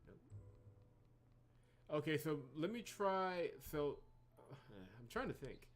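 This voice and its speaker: A man's voice: a little high, lively intonation, slow pace. A man hosting a blind game playthrough, giving casual commentary and reading text aloud, often musing hesitantly as he trails off. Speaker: gaming host doing a blind playthrough.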